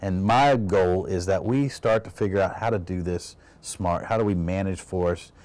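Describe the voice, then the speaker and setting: Man, deep low voice, expert interviewee, measured explanation, faint noise behind. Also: a little mellow. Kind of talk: interview soundbite.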